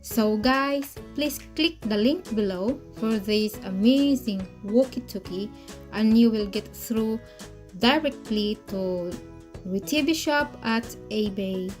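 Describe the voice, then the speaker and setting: A woman presenting a product demonstration for an online video in halting, non-native English phrasing. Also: on the high side, a little mellow. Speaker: product reviewer and parent presenting to camera. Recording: music playing behind the voice.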